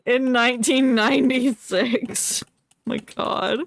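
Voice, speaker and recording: A woman's voice: on the high side, steady tone, slow pace, vocal fry, dry recording. A woman streamer giving casual, playful, joking commentary on a game she plays with a friend.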